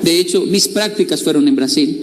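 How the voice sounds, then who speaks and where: A man's voice: fairly high pitch, fairly quick, a little hiss or hum. A middle-aged man gives a storytelling talk, playful and confiding, and acts out other people's voices. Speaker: speaker. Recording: long hall reverb.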